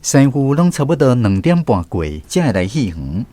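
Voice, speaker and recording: A man's voice: medium pitch, very animated, moderate pace, over music, natural voice. A man playing a grown storyteller reflecting on his boyhood, narrating a radio drama with a Taiwanese accent. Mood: reflective.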